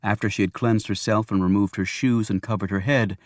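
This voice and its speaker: Male narrator, lower register, scripted thriller audiobook, very dry sound. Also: steady tone, fairly quick, no room sound.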